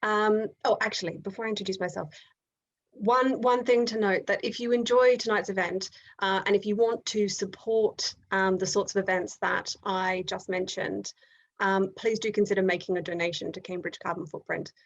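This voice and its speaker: A woman's voice: mid-range voice, fairly even intonation, moderate pace, highs cut off. A woman with an Australian accent hosting an online event on a video call, talking with frequent ums and restarts. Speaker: event host.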